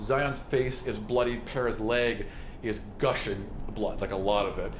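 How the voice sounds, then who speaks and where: A man's voice: fairly low pitch, unhurried. A man running a tabletop game as game master, describing scenes dramatically and voicing sound effects himself. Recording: roomy, with clear background noise.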